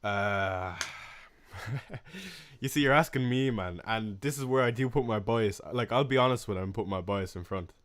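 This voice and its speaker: A young man with a Waterford Irish accent chats loosely with his livestream audience. He sounds relaxed and friendly, full of fillers and small laughs as he reads comments and gives quick opinions. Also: fairly low pitch. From Ireland. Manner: chatty, laid-back, frequent fillers and soft laughs. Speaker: fantasy football livestream host. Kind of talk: casual live-stream chat reading comments.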